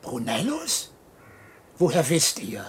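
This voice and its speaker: An actor playing an officious, polite monastery cellarer in a radio drama, speaking with ceremonious formality. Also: male voice, on the high side, huge pitch swings, takes it slowly, slightly rough, full character voice. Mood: surprised. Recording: ambient noise with crows cawing behind.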